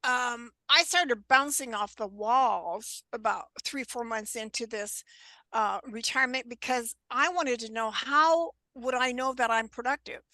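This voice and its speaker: A woman in her seventies giving an informal presentation over a video call, unhurried and halting, with a scratchy voice and frequent throat clearing. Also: on the high side, bright, forceful tone, no room sound. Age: 70s. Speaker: guest presenter on wellness.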